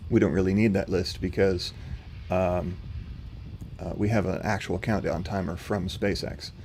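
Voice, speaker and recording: A man hosting a live stream, answering chat questions in a calm, level, unhurried way with a slightly rough voice. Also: deep voice, slight background noise. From not from North Carolina. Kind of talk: casual livestream commentary answering chat. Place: home.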